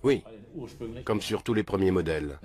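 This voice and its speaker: Man, very low voice, interviewee, assured, matter-of-fact, crowd noise in background. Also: lively intonation, normal speed. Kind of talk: interview answers.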